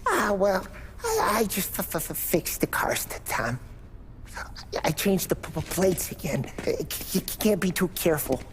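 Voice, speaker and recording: A man's voice: high voice, very animated, normal speed, a little hiss or hum. A man playing a nervous, friendly, overworked car mechanic with a stutter and hesitant, informal speech in a video game drama. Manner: hesitant, informal working-class talk.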